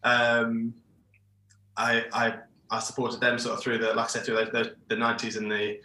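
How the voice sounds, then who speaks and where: A man in his forties from England talks over a video call, rambling and restarting his sentences. His voice is bright and forceful, and it comes through with a roomy echo. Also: medium pitch, monotone, moderate pace. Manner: rambling, hesitant, many restarts. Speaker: shirt designer, guest. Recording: echoey room over internet call.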